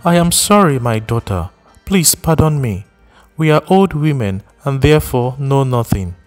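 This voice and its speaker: A man voicing an older village woman who laments slowly and at length to a grieving mother in a storybook reading. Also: medium pitch, lively intonation, soft background music, pitched above the performer's own voice, close to an everyday voice. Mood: apologetic. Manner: slow, mournful, long-winded.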